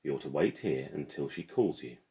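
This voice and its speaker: A man's voice: extremely low pitch, expressive, moderate pace, dark tone. A man voicing a prim, smirking schoolgirl who teases with mock innocence in a storybook reading. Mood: prim, bossy.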